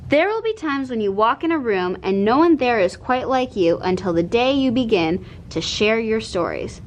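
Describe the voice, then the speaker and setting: A woman narrating a children's picture book in an expressive read-aloud style. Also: normal speed. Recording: noticeable background noise with low rumble.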